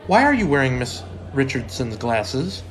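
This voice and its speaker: A man's voice: mid-range voice, very animated, normal speed, faint music behind, lightly acted. A man playing a polite, oblivious biology teacher in an old-time radio comedy, earnest and naive. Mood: earnest, mild.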